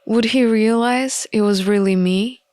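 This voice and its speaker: A woman narrating a visual novel in an expressive, measured storybook style. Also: on the high side, no echo, clean studio sound. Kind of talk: expressive storybook-like reading of game text. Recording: music behind the voice. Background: music.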